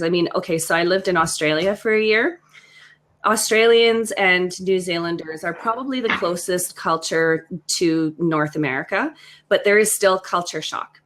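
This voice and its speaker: A woman's voice: lowish voice, fairly bright tone. A woman in her thirties from Western Canada, chatting enthusiastically with many fillers on a video call. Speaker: co-host. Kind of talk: casual video-call chat.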